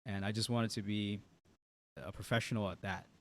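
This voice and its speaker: A man in his twenties from Guyana, a medical student telling his story in a relaxed, casual way. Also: fairly low pitch, normal speed, a little hiss or hum. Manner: relaxed, casual, many "like" fillers. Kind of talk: casual interview talk.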